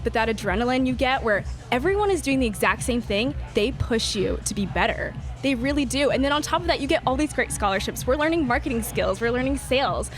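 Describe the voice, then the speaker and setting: A woman in her twenties, a podcast guest in casual chat, bubbly and quick to laugh. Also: on the high side, expressive, soft background music. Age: 20s.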